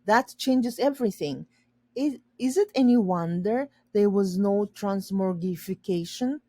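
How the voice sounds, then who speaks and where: A woman reading a children's story aloud and pausing to explain words simply for learners. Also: medium pitch, dark tone, dry recording, studio quality.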